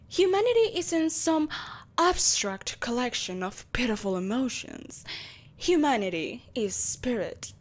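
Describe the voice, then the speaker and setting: A woman voicing an ancient, ageless sorceress empress in an audio roleplay, telling her tale in a grandiose, deliberate, condescendingly polite manner. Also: sits high, exaggerated voice. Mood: condescending, impassioned. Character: ancient, ageless evil sorceress empress, haughty, ruthless, possessive. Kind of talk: theatrical dramatic monologue, audio roleplay.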